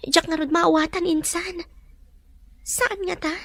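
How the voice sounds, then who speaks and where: Very high, plaintive troubled woman played by an actress. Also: fairly even intonation, measured pace, a bit bright, no room sound, heavily acted. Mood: weary, uneasy. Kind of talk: Filipino radio drama dialogue.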